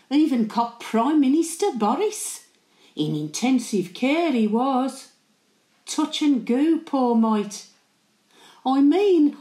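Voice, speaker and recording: An elderly working-class Birmingham great-grandmother in a dramatic monologue. Her voice is fairly high and very lively in pitch, as she rambles along cheekily and fondly with her gossip. It sounds roomy and echoing. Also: full character voice. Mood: confiding. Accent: Birmingham (Brummie). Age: older. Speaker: actor performing a dramatic monologue. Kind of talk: chatty character monologue.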